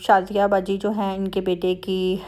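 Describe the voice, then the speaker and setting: A woman's voice: medium pitch, monotone, moderate pace, mellow. A woman with a Pakistani Punjabi accent talking in a chatty, conversational way, as in a casual family vlog voice-over. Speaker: family vlogger.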